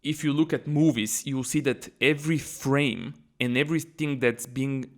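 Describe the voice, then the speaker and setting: A man's voice: medium pitch, steady tone, moderate pace. A man co-hosting a podcast, chatty and easygoing, moving the conversation from topic to topic. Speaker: podcast co-host.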